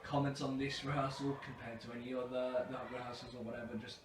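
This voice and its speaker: A man's voice: mid-range voice, level tone, fast. A man asking casual interview questions in a loose, chatty way. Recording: echoey room, distant mic, faint noise.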